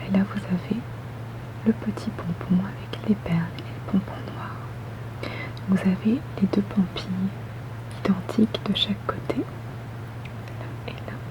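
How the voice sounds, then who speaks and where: Softly whispering woman. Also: mid-range voice, lo-fi sound. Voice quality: soft, breathy whisper. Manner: gentle, polite, unhurried, attentive to the listener. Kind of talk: ASMR roleplay, customer service.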